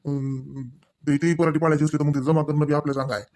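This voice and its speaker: A man acts out an irritable but resigned village husband from a comedy cartoon. He talks fast in a slightly high voice with a Varhadi Marathi accent, grumbling as he tries to keep the peace. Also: level tone, a little mellow, lightly acted. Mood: irritated. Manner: grumbling, placating.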